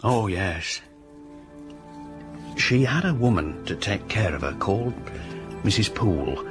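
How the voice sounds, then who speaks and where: An actor playing a deferential country innkeeper who gossips with hesitant, chatty speech. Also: male voice, on the low side, big pitch swings, slow, music in the background. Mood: gossipy. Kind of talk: radio drama dialogue.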